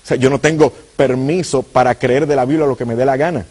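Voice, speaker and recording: Husky Puerto Rican preacher man. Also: medium pitch, steady tone, brisk, darkish tone. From Puerto Rico. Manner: earnest, addresses viewers directly. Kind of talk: apologetics teaching.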